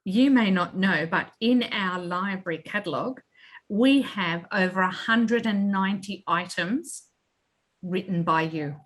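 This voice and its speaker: A woman's voice: medium pitch, measured pace. A woman hosting an online library session in an informal, conversational style. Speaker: library host and moderator.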